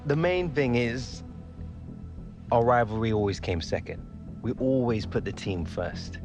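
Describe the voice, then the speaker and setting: An actor playing a modest, loyal young English footballer in a naturalistic game cutscene. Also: male voice, medium pitch, measured pace, music in the background, natural voice. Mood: thoughtful, composed. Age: young adult.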